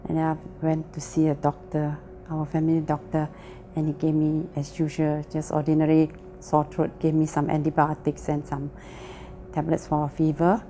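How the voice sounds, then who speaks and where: A woman's voice: low voice, completely flat delivery, moderate pace, dark tone, a little hiss or hum. A woman with a Malaysian English accent giving a plain, earnest personal testimony. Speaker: testimony speaker.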